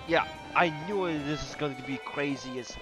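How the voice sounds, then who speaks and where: A man giving casual gameplay commentary as a streamer, halting and repeating his phrases. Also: a little high, poor audio. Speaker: video game streamer. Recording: loud game music behind.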